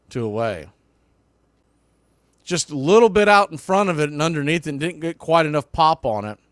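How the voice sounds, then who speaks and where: Man calling baseball play-by-play, fairly high voice. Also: fairly bright tone, clear, resonant tone, dry recording, studio quality. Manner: folksy, partisan home-team enthusiasm. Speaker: baseball play-by-play announcer for a fan stream. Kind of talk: live sports play-by-play commentary.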